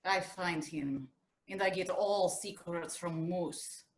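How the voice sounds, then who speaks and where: A woman playing a blunt, loyal henchwoman in a staged play reading, speaking deliberate, clipped broken English. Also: lowish voice. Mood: stern, blunt.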